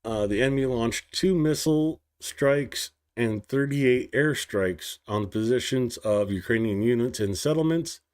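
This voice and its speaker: Man, news stream host, slow deliberate reading, mid-pitched voice, stumbles over place names. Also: a little mellow. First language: English. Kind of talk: reading war news aloud and commenting.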